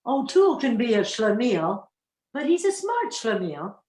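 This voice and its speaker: A sardonic, cautious female crook from a comic radio play, voiced by a woman. She grumbles at her sister in a lively, slightly high voice that rings with room echo. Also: unhurried. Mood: sardonic, wary. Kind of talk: comic old-time radio play acting. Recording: echoey, roomy pickup.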